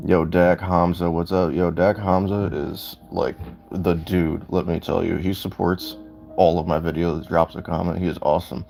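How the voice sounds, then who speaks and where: A man with a very low, gravelly, raspy voice talks in a relaxed, chatty way as he streams a game and reads out viewer comments. Music plays clearly in the background. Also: normal speed. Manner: laid-back, chatty, reads out chat comments. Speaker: gaming streamer. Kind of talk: casual live gameplay commentary.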